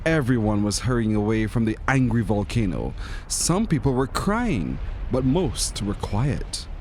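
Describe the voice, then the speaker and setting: A man's voice: lowish voice, big pitch swings, a little slow, darkish tone, a little hiss or hum. A man reading a children's storybook expressively, as a narrator who acts out the characters. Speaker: story reader.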